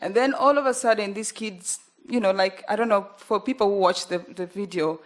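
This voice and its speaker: A young woman with a Ugandan accent talks at a panel in an earnest, halting way. Her voice sits in a middle pitch, and she often stops on fillers before going on. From northern Uganda. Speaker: journalist panelist. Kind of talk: panel talk.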